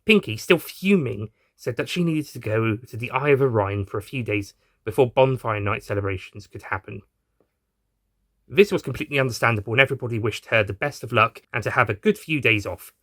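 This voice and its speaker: A man reading a scripted story aloud as an expressive narrator. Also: medium pitch, a little fast, no room sound, studio quality. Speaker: narrator and reader.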